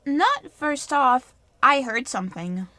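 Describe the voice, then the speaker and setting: High, folksy farm woman. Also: big pitch swings, takes it slowly, sharp tone, no echo, exaggerated voice. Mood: excited recounting. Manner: rustic, rambling, folksy speech. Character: folksy, rambling farm woman, unruffled and chatty. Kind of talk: 1930s radio play acting.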